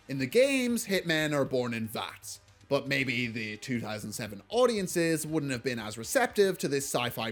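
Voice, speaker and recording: A man narrating a scripted film review video essay in a wry way, leaning hard on key words. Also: medium pitch. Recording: music behind the voice. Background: background music.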